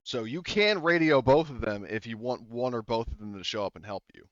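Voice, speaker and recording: A man's voice: mid-range voice, lively intonation, fairly quick, dry recording. Give me a man game master chatting loosely, recorded on a dry, slightly lo-fi mic. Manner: casual, rambling with many fillers. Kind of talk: running a tabletop roleplaying game. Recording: dry, slightly dull lo-fi audio.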